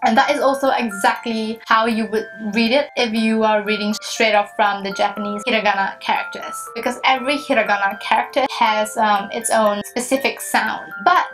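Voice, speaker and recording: A woman's voice: on the high side, fairly even intonation, moderate pace, few pauses, low-quality recording. A young woman in her twenties giving chatty, emphatic language-learning tips to camera in a small bedroom. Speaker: language vlogger. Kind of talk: casual tips vlog to camera. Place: small bedroom with fairy lights. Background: soft music box music.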